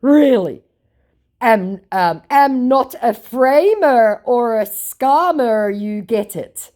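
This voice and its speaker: A woman voicing a dim, boastful male online scammer with halting broken English and an exaggerated, slow delivery. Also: fairly high pitch, animated, darkish tone, pitched above the performer's own voice. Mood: halting, dismissive.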